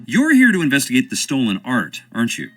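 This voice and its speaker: A man's voice: medium pitch, huge pitch swings, fast talker, a bit bright, faint music behind. An actor playing a charming, evasive young stage actor with an American accent, wry and theatrical as he dodges questions. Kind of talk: video game voice acting.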